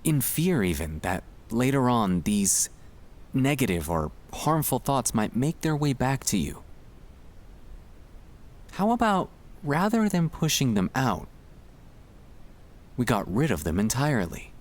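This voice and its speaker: A man speaking in a gentle, soothing, reassuring way, delivering a scripted ASMR guided relaxation to help the listener sleep. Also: medium pitch, sing-song, a little slow, long pauses.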